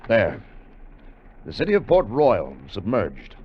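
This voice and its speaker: An actor playing an earnest, cautious leader of a treasure salvage voyage in 1950s radio drama. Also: male voice, lowish voice, wildly swooping pitch, takes it slowly, breathy edge, full character voice. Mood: earnest, purposeful. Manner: hesitant starts, earnest explaining. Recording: dull, faintly noisy vintage radio recording.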